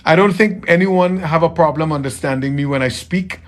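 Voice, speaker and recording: A man from Trinidad with a softened Trinidadian accent, chatting casually with viewers on a livestream as a tailor, friendly and often repeating himself. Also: a little high, normal speed. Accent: Trinidadian, softened toward American. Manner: friendly, repeats phrases, greets viewers by name.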